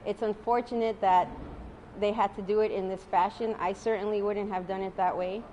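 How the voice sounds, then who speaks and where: A tired woman attorney giving a measured statement at a press conference. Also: mid-range voice, fairly even intonation, warm tone, a little hiss or hum, poor audio. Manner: measured, deliberate.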